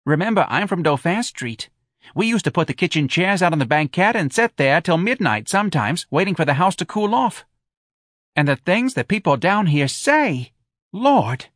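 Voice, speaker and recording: A man's voice: high voice, sing-song, normal speed, a bit bright, no echo, clean studio sound, voice pushed up, exaggerated voice. A man voicing a melodramatic older Southern woman, emotional and colloquial, in an audiobook. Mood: dramatic complaint. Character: melodramatic older Southern woman, hobbling, weepy, easily upset.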